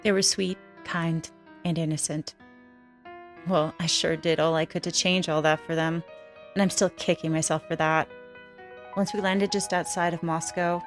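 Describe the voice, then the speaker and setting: An actress playing a hard-boiled female ex-trafficker, narrating her own story in a frank, crude, wry way for a film. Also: low-pitched. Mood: bitter, wry. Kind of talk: first-person film narration. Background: music.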